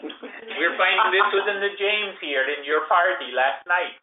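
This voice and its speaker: A man channeling a spirit, giving spiritual guidance in a formal, unhurried way and speaking of himself as "we". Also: sits high. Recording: echoey, tinny phone-like recording.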